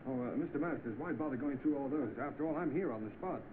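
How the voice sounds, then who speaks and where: An actor playing an eager, hard-up young job seeker in 1930s film style. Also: male voice, medium pitch, quick pace, lightly acted. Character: eager, hard-up young man seeking work. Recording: noisy narrow-band old film soundtrack.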